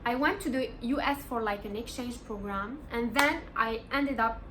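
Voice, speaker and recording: A woman's voice: fairly high pitch, moderate pace, background noise. A woman in her twenties from Morocco, giving earnest, encouraging life advice in a casual vlog and stressing points by repeating them. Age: 20s. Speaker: vlogger.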